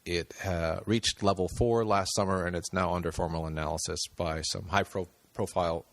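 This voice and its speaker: A man's voice: low-pitched, fairly even intonation, normal speed, dry recording. A man talking as a guest on a podcast, thinking aloud with many fillers and restarts. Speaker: podcast guest, screenwriter and director. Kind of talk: casual interview talk.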